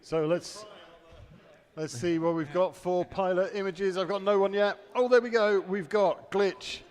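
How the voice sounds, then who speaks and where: A man's voice: sits high, fairly even intonation, unhurried. Chatty man commentating in a reverberant hall. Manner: chatty, reads out chat messages, laughs easily. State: losing his voice. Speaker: race commentator and stream host. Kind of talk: live event commentary.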